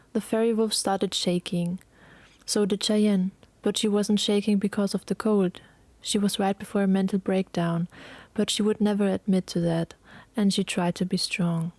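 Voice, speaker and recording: Female narrator for a dramatic audio tale; mid-range voice, solemn measured reading, very dry recording. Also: mid-range voice, flat delivery, warm tone, no echo. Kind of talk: scripted dramatic story narration.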